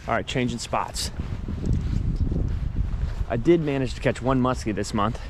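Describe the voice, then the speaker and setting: A man with an American accent narrating his fishing trip on a creek bank, recorded on a chest-mounted action camera, relaxed and chatty but excitable when a fish bites. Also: medium pitch, a little slow, background noise. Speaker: fishing vlogger. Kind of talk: casual outdoor narration. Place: creek bank outdoors in winter.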